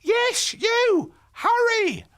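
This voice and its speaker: A man voicing a nervous young boy in a storybook, with a squeaky, stammering voice. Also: big pitch swings, drawn-out words, a bit bright, heavily acted. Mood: panicked.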